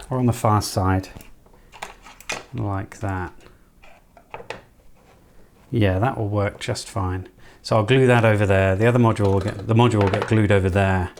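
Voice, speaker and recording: Low-voiced man, gravelly edge. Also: noisy background. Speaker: electronics hobbyist presenter. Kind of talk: casual hands-on build commentary.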